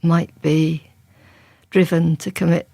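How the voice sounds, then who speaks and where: Gravelly, low-voiced woman author. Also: level tone, takes it slowly, dark tone, no room sound. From England. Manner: reflective and conversational, with frequent restarts. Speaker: crime writer. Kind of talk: interview guest.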